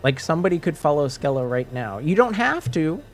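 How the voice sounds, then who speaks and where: A man's voice: a little high, sing-song, a little fast, faint music behind. A man from French Canada with a light French Canadian accent, talking casually to his stream viewers, rambling with many restarts and fillers.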